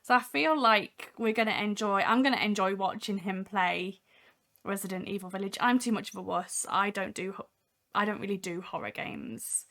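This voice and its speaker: A young woman hosting a casual software demo livestream, talking from home with a voice made husky by a cold, chatty with many ums. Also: mid-range voice, steady tone, normal speed. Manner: chatty, many ums, reads chat aloud. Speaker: livestream host.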